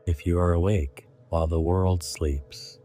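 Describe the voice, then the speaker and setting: A man narrating a scripted guided sleep meditation in a hushed, deliberate way, in short phrases. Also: low voice, fairly even intonation.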